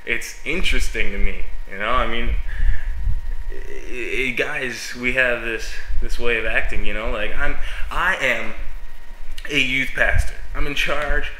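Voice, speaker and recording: A man's voice: medium pitch, unhurried, sharp tone, a little hiss or hum. A young man, a youth pastor, rambling casually in a vlog while stuffy and sniffling from a cold, with lots of fillers and self-mocking laughs. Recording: echoey room.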